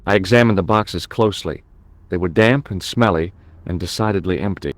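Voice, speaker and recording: An actor playing a wry American adventurer in a video game, narrating his investigation in a dry, deadpan, measured way. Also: deep voice, dry recording, lightly acted. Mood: wry, observant.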